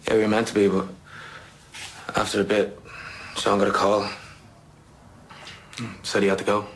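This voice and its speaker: A man's voice: on the low side, monotone, moderate pace, a little hiss or hum, lightly acted, poor audio. An actor playing a big, sheepish young working-class British man who answers hesitantly and defensively in an audio drama. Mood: uneasy, hesitant. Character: hulking but sheepish young working-class nephew, anxious under questioning.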